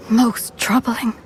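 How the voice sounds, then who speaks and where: An actress playing a formal, deliberate older former headmistress in a fantasy video game. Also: female voice, on the high side, poor audio. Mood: grave concern. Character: grave, stately former headmistress in a portrait. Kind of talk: video game cutscene acting. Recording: music behind the voice.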